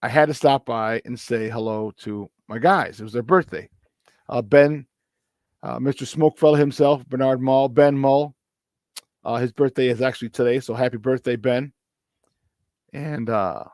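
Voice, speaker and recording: A man hosting a morning sports talk show, his voice slightly hoarse, telling stories casually and repeating words for emphasis. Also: mid-range voice, moderate pace, long pauses, a little mellow, no echo, studio quality.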